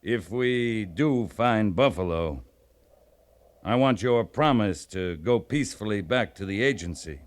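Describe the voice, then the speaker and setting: An actor playing a resolute American army captain in an old-time radio western, speaking in a measured, authoritative way. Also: male voice, fairly low pitch, animated. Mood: firm, persuasive. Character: resolute, plain-spoken U.S. Army cavalry captain in the Old West. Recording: vintage radio drama recording.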